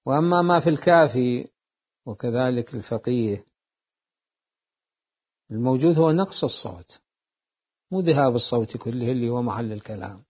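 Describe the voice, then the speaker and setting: Male lecturer, mid-pitched, methodical and repetitive delivery; muffled lo-fi audio. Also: lively intonation, a little mellow, slightly rough. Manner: deliberate, repeats phrases to explain. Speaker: seminary jurisprudence teacher. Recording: dull lo-fi lecture recording.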